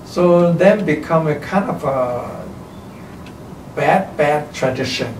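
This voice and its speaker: A man in his seventies from Taiwan with a Taiwanese accent, a kung fu teacher giving opinionated interview answers in halting, emphatic non-native English with repeated short phrases. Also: fairly high pitch, a little mellow. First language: Chinese. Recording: roomy, distant mic, faint background noise.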